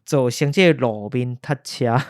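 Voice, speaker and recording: A man's voice: mid-range voice, a little slow, dry recording, clean studio sound. A man with a Taiwanese accent hosting a podcast, telling history conversationally and explaining step by step with repeated key phrases. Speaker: podcast host. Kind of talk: conversational storytelling about history.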